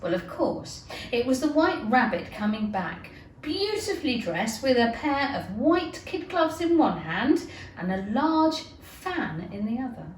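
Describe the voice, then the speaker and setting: A woman reads a children's storybook in a high, very lively voice. She takes her time with theatrical, deliberate phrasing. The sound is roomy and distant from the microphone. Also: a little hiss or hum. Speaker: teacher reading aloud. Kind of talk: expressive children's storybook narration.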